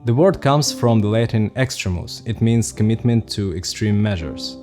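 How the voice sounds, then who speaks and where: Russian guy, lowish voice, calm explainer read, soft guitar music underneath. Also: normal speed. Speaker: vlogger. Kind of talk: scripted explainer narration.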